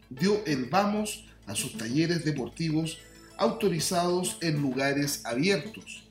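A Chilean man presenting a scripted radio news bulletin with measured, deliberate enunciation. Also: a little high. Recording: roomy echo, music behind. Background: faint music.

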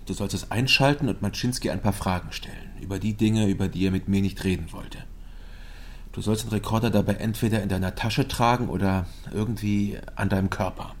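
An actor playing a guarded, sober investigator who narrates a radio crime drama in an understated, matter-of-fact way. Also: male voice, on the low side, fairly even intonation, fairly quick, slight background noise, close to an everyday voice. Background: music.

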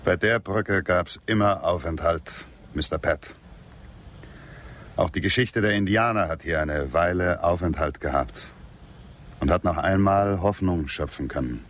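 An actor playing a calm, nostalgic Western frontiersman in a German radio drama, plain-spoken and pensive. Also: sits low, a little slow, exaggerated voice.

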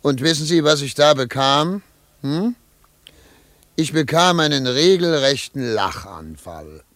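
Wry, dramatic investigator, dry studio sound. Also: male voice, a little high, lively intonation, measured pace, a bit bright, no echo. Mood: wry, rueful. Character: wry male postwar investigator, excitable, recounting his case. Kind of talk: German radio play acting and narration.

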